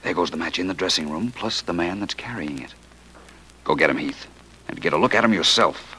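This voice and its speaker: An actor playing a suave, self-assured amateur detective in an old radio drama, urbane, dryly witty and commanding. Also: male voice, low-pitched, fairly quick, pushed and bright. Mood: intent, quiet. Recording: noisy old radio play recording.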